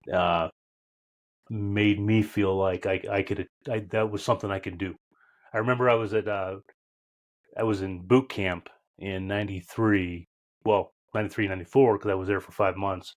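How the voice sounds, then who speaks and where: A man in his fifties who hosts a podcast, speaking in a reflective way and searching for his words as he introduces his guest. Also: fairly low pitch. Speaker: podcast host. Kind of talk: casual interview conversation.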